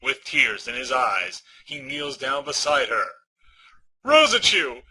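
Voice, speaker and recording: A man's voice: mid-range voice, measured pace, pushed and bright, slightly rough, poor audio. A man narrating a comic-book story as an audiobook, reading from a script. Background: music.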